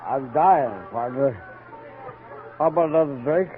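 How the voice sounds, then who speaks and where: An actor playing a weak, wounded, drunken cowboy with an American Western accent, rambling and melodramatic in a 1950s radio western. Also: male voice, a little high, unhurried, faint music behind, natural voice. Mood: maudlin, pained. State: weak, in pain. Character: wounded, drunken, remorseful cowboy, dramatically dying. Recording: narrow, muffled old radio recording.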